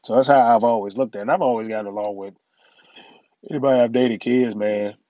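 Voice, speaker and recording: A middle-aged man hosting his own podcast in a casual, rambling way, full of "you know" and "man", his voice a little raspy from allergies. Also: medium pitch, fairly even intonation, fast, mellow, no echo, lo-fi sound. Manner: laid-back, rambling, many "you know" and "man" fillers. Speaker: podcast host. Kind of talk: casual solo podcast talk.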